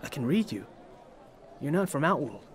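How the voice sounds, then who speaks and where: A man's voice: fairly high pitch, sing-song, normal speed, slight background noise. A man playing an earnest, wary, focused young soldier in a video game.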